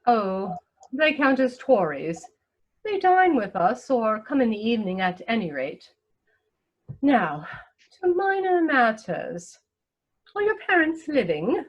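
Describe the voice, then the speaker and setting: A woman playing a haughty, snobbish older aristocratic lady in a comic play reading, imperious and pompous, with deliberate enunciation. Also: a little high, big pitch swings, muffled recording, full character voice. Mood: disdainful.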